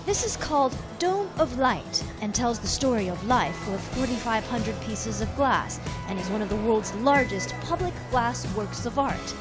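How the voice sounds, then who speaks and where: A woman's voice: on the high side, lively intonation, moderate pace, few pauses. Upbeat woman hosting travel show. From United States. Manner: upbeat, chatty tour-guide delivery. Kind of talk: scripted travel narration. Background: loud background music.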